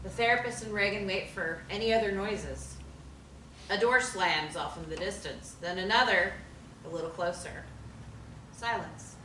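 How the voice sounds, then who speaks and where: Woman reading stage directions, bright tense voice, roomy distant mic. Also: mid-range voice, moderate pace, a little hiss or hum. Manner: plain, narrating.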